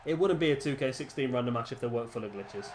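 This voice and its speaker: A man's voice: medium pitch, animated, fast, noisy background. A man, congested from a cold, giving rambling, chatty commentary over a video game. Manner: rambling, chatty, many restarts. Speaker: gaming commentator. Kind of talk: casual gameplay commentary.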